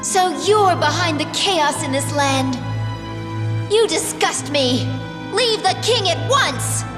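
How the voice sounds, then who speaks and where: A proud young warrior princess in a dramatic video game. Her high voice is formal and earnest, slowly measured, with faint music behind. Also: expressive, heavily acted. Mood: indignant.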